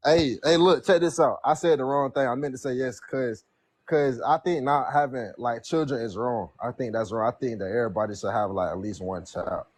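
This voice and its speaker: Husky-voiced slangy young man. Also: mid-range voice, a little fast, a little mellow. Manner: slangy, repeats himself, defensive. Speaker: caller. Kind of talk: casual debate on a call.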